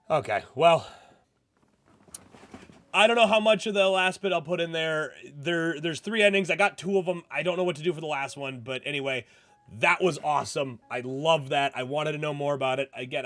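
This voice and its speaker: A man hosting a gaming channel, chatting casually as he plays and reacts out loud to a story game. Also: on the high side. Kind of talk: casual let's-play chat with narrated visual novel reading. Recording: music playing behind the voice. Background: music.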